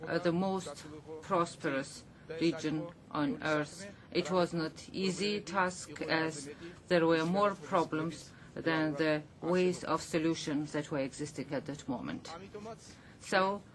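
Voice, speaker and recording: Interpreter, simultaneous interpretation, halting with fillers, middle pitch, audience murmur in assembly chamber. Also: crowd noise. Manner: hesitant, with frequent fillers and restarts.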